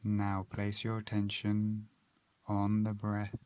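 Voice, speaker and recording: A man narrating a guided meditation as a guide, calm and gentle, with measured phrases and long pauses. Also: lowish voice, dry recording.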